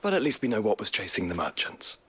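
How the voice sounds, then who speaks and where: An actor playing an observant, sensible travelling companion in a fantasy video game. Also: male voice, on the low side, very animated, quick pace, a bit bright, slightly rough, exaggerated voice.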